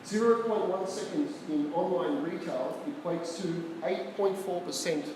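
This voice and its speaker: A man's voice: high-pitched, a little slow, darkish tone, slight background noise. Australian male presenter, chatty and casual, in a roomy hall with a long reverb tail. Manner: casual, chatty, asks the audience questions. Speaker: tech talk presenter. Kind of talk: live presentation to a small audience. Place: meeting room with an audience.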